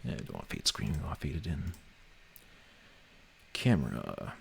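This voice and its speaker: Deep-voiced man, lively and joking. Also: unhurried, creaky, a little hiss or hum. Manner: joking, profane, muttering to himself. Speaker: livestream host and game developer. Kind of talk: casual chat while working on a game. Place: online voice call during a development stream.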